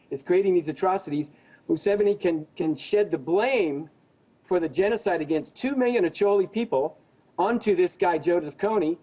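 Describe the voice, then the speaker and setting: A man's voice: sits high, mellow. An American man giving opinionated commentary as a news interview guest, speaking in an emphatic, accusatory and insistent way. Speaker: interview guest, genocide investigator. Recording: dull lo-fi audio.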